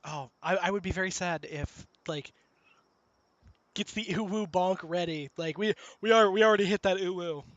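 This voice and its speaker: High-voiced man telling animated stories. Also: moderate pace, no room sound, highs cut off. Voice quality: high-pitched voice. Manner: animated storyteller, acts out the people in his stories. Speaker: streamer host. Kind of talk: casual chat on a game stream. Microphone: voice chat call.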